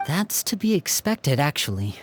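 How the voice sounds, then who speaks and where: A man playing a serious, pragmatic young operative in a video game, speaking in a measured, matter-of-fact way. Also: on the high side, very animated, slight background noise. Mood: serious, explaining. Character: composed, pragmatic young male operative of a secret organization.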